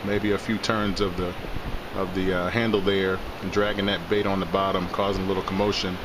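Low-voiced man over loud background noise. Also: fairly quick. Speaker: host of a fishing channel. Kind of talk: casual instructional vlog narration. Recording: loud steady background hiss and rumble.

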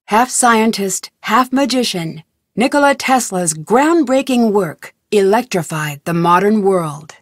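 A woman narrating a game trailer in a dramatic, measured way. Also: medium pitch, lively intonation, no room sound, clean studio sound.

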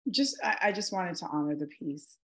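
A woman's voice: lowish voice, lively intonation, fairly quick. A middle-aged woman offering casual, sincere remarks as an actor on a video call. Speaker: actor on a panel.

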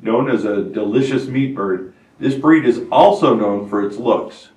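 A man in his fifties hosting a hobby farm channel, reading a scripted breed spotlight in a steady, informative way. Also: mid-range voice, a little slow, reverberant, far from the mic, rough recording. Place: indoor studio set before a wooden barn-wall backdrop. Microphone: lapel mic, medium camera framing.

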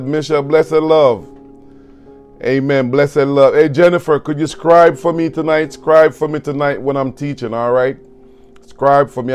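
A man in his fifties preaches to an online congregation from a desk webcam, and his voice is strong and loud, with a dark, mellow tone. He talks with exuberance, waving his hands and calling out to the people watching. Faint background music plays behind him. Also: fairly high pitch. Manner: exuberant, gesturing and calling out to viewers. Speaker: pastor. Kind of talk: online church livestream sermon. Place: home studio with city backdrop.

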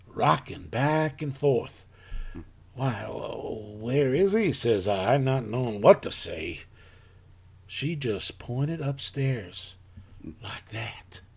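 A man playing a plain-spoken rural farmer in a live audio drama, telling his story in a folksy, halting way. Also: mid-range voice, slightly rough, full character voice.

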